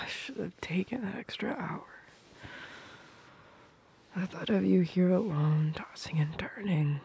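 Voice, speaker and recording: A woman's voice: on the low side, a little mellow, slight background noise. A woman playing a tender, protective girlfriend in a romantic ASMR roleplay, whispering intimately and affectionately, very close to the microphone. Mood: tender, wistful. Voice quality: breathy, whispery. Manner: slow, intimate, affectionate murmuring. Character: tender, protective, doting girlfriend murmuring at bedtime.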